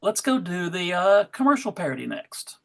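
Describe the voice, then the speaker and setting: A man casually hosting a table read, informal and a bit halting as he talks. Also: high-pitched, sing-song, creaky, a bit bright.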